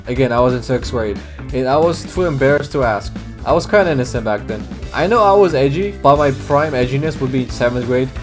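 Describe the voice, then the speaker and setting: A young man from Georgia, telling school stories in a casual, edgy, sarcastic way. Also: a little high. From Georgia (country). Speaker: YouTuber. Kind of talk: comedic storytelling narration. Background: background music.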